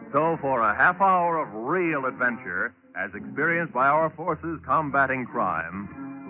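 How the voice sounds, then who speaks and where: Man, radio announcer, formal declamatory delivery, lively intonation, muffled 1940s broadcast, music behind. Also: mid-range voice.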